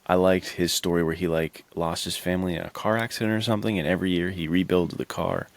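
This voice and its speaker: A man's voice: low-pitched, steady tone, fast talker, vocal fry, dry recording. A man co-hosting a podcast, chatting casually about movies in a slangy, enthusiastic way. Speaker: podcast co-host.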